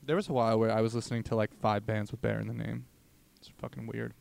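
A man's voice: on the low side, flat delivery, fast talker, gravelly, a little mellow. A young man hosting a comedy podcast, chatting casually with crude, slangy banter. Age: young adult. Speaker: podcast host.

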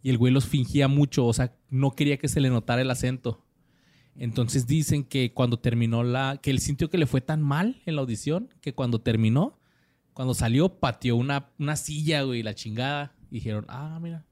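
A man's voice: medium pitch, a little fast, a little mellow, no echo. A man co-hosting a podcast, reading out actor bios with lots of slang and jokes. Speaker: podcast co-host. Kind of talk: casual podcast chat.

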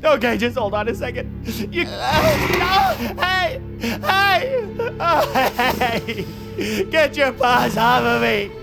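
A vain, cowardly male film star in an audio drama. His voice sits very high and he draws his words out, stammering as he wheedles and boasts, with music playing behind him. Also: huge pitch swings, takes it slowly, gravelly, heavily acted. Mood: wheedling, indignant.

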